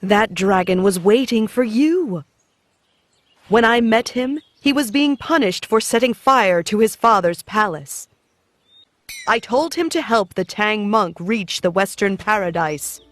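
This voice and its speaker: Female actor as serene goddess, patient, gently chiding, medium pitch, dry close sound, children's animated story. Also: a little slow, no echo, lightly acted. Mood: patient, explaining.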